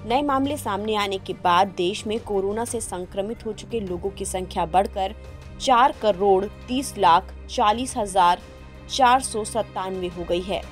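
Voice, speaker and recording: Female news presenter, mid-range voice, formal scripted bulletin read over a music bed. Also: medium pitch. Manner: formal, reading out figures. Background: background music.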